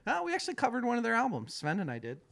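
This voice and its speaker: A man's voice: extremely high pitch, huge pitch swings, rapid-fire, gravelly, a bit bright. A man in his thirties hosting a music podcast, joking and swearing freely in casual chat. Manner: profane, joking. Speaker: podcast host.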